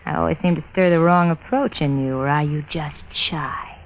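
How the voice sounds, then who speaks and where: Coy radio noir femme fatale. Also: female voice, low-pitched, animated, measured pace. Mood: coy, seductive. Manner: coy, teasing. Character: seductive, calculating femme fatale. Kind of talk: 1940s radio noir acting. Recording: dull, noisy vintage radio recording.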